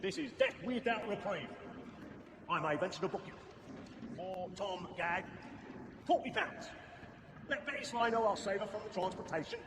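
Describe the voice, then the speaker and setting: A high-pitched, pompous stage rogue. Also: male voice, lively intonation, normal speed, long pauses, noisy background. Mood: scheming, self-satisfied. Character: pompous, roguish crime boss in a period stage play. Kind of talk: theatrical period stage acting. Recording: echoey theater hall under loud music.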